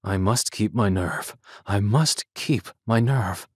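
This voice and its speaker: An actor playing a determined, hunted big-game hunter under strain in an audio drama, tense and resolute. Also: male voice, fairly low pitch, takes it slowly, a little mellow, slightly rough, dry recording, studio quality. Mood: tense, self-steadying.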